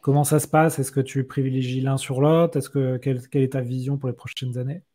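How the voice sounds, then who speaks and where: A man's voice: mid-range voice, level tone, brisk, warm tone. A man hosting a podcast interview in a casual, conversational way, rephrasing points and feeling his way informally into questions.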